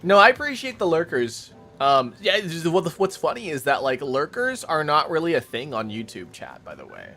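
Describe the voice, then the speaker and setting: A man's voice: fairly high pitch, very animated, moderate pace, few pauses, pushed and bright, soft background music. A man streaming a casual chat, rambling to his viewers while making coffee. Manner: chatty, rambling, talks to chat.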